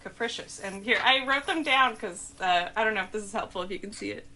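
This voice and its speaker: A woman presents a casual quiz in an upbeat, chatty way. Her voice sits at an ordinary middle pitch, and she is quick to laugh. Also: bright, forceful tone, poor audio. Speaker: host of a language quiz video. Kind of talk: casual game-show presenting and chat.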